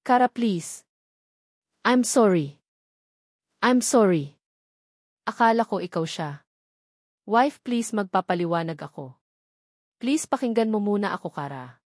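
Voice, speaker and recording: A woman's voice: medium pitch, expressive, unhurried, long pauses, dry recording. Woman voicing a pleading, remorseful husband, close and dry. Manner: pleading, insistent. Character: pleading, remorseful husband begging his wife.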